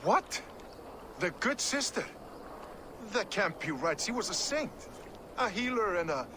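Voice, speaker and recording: An actor playing a rough, sardonic, weary medieval man in a video game, with a wry, blunt and expressive delivery. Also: sits high, measured pace, background noise. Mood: shocked, bitter. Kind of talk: dramatic video game dialogue.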